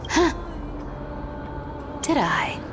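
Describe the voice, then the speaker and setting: A woman's voice: extremely slow, over loud music. A woman playing a sly, carefree young female thief in a video game, speaking in a wry, teasing and nonchalant way. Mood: wry, musing. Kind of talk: video game character dialogue.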